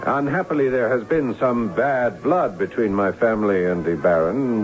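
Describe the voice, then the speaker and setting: An actor playing a courteous, earnest young German nobleman home from the wars, theatrical and measured in an old-time radio drama. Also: deep voice. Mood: cordial, thoughtful. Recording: muffled, noisy old radio broadcast, music.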